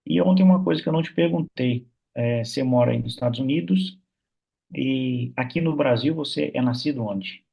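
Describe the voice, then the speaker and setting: Church meeting host, husky-voiced man, chatty, over a video call. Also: medium pitch, fairly quick, muffled recording. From Minas Gerais, Brazil. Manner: warm, chatty, many fillers like "né". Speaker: host of an online prayer meeting. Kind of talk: casual church meeting hosting.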